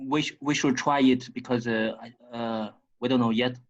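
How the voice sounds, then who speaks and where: A man speaking tentatively and haltingly as a participant in an informal work conference call. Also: medium pitch, fairly even intonation. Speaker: meeting participant. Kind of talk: informal work meeting talk. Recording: slightly dull conference call audio.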